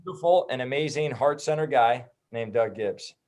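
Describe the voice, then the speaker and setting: A man's voice: mid-range voice, moderate pace, a little mellow. A man with an American accent talking loosely and jokingly as a guest in a casual interview. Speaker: guest, activist group organizer. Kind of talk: casual chat.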